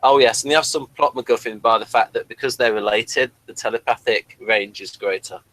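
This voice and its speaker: A man's voice: medium pitch, brisk, a bit bright, rough recording. A man co-hosting a casual review, talking and reading aloud, sometimes stumbling and correcting himself. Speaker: review show co-host. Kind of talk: casual review chat, reading out an episode synopsis.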